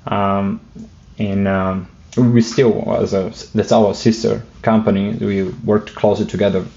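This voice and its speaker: Russian-accented male guest, low monotone, halting, muffled. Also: vocal fry. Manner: hesitant, many fillers and restarts, light joking. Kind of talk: casual interview talk.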